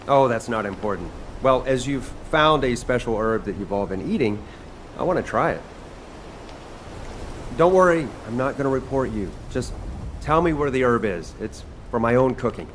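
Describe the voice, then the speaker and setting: A man voicing an eager young video game fighter with a cook's passion. His delivery swings freely in pitch, casual and playful. Clear noise and game ambience sit behind the voice. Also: lively intonation, moderate pace, lightly acted. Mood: casual, curious. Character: eager, easygoing young male fighter who loves cooking.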